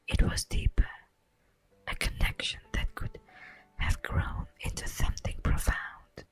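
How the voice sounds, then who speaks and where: A woman playing an ancient, wistful immortal elf in a slow, breathy whispered ASMR roleplay monologue. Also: a bit bright. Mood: reproachful, tender. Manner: slow, theatrical, lingering pauses. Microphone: close microphone. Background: faint music.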